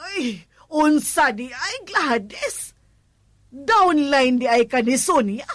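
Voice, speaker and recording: A woman playing a skeptical, scolding mother-in-law with deliberate delivery, in a Cebuano Filipino radio drama. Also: high-pitched, lively intonation, no room sound, clean studio sound. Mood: disapproving.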